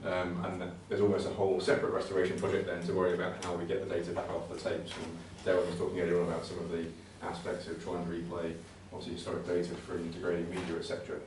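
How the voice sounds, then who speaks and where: Male presenter, low-pitched, conversational and halting technical talk; distant mic in a very reverberant room. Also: completely flat delivery. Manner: hesitant, conversational, repeats words. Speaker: presenter at a computing conservation talk. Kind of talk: informal technical presentation with slides. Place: indoor talk venue. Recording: very echoey room, distant mic, faint noise.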